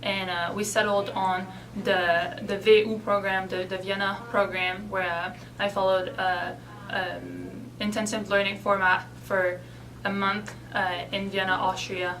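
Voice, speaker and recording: A woman's voice: mid-range voice, flat delivery, unhurried, few pauses, a bit bright, distant mic, rough recording. Young Belgian-born woman being interviewed. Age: 20s. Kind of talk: casual interview.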